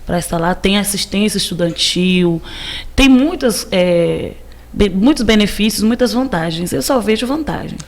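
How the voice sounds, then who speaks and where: A woman's voice: medium pitch, expressive, normal speed. Informal woman in her thirties from Cape Verde. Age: 30s. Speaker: podcast guest, civil engineering teacher. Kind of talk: casual interview chat.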